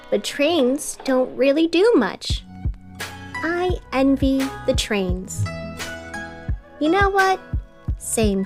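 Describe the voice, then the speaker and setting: A woman playing a gentle, musing radio host in a scripted fiction podcast, speaking in a soft, reflective, conversational way. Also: high voice. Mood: chatty, wry. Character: gentle, musing young female radio host of a strange city.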